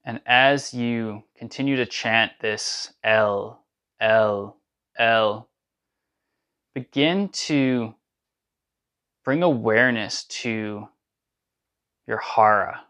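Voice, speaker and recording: Slow, smooth-voiced man guiding a chant. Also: mid-range voice, pushed and bright. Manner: slow, soothing guidance with drawn-out chanted syllables. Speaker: meditation guide. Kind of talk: guided sound meditation with chanting.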